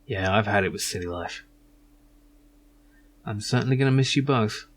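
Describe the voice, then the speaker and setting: A man's voice: on the low side, moderate pace, natural voice. Man playing a grave young game hero. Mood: calm farewell. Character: earnest, bitter young man confronting his father. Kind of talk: hobby voice acting of adventure game dialogue.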